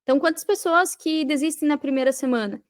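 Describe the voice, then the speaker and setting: A woman's voice: sits high, quick pace. A Brazilian woman explaining and advising in a conversational interview, emphatic and repeating phrases for stress. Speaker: podcast guest, digital marketing mentor.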